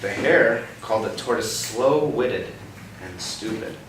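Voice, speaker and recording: A young man reading a picture book aloud to a child, pausing often to ask gentle questions about the words. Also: on the low side. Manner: expressive storybook reading broken by gentle teaching questions. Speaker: read-aloud host. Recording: roomy, distant mic with background noise.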